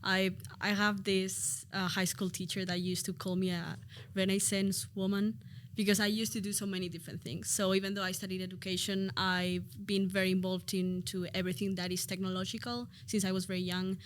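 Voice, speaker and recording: A woman's voice: mid-range voice, flat delivery, a little fast. A woman in her twenties from Spain, whose first language is Spanish, chatting as a podcast guest, thinking aloud with fillers. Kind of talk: podcast interview.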